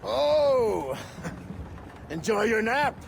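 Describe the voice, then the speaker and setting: A gloating, mocking villain officer in a game cutscene. His voice is gravelly and high for a man, swinging up and down in pitch as he drags out each taunt. Loud noise from the scene runs underneath. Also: sing-song, very slow, exaggerated voice. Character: gloating, cackling middle-aged male villain officer.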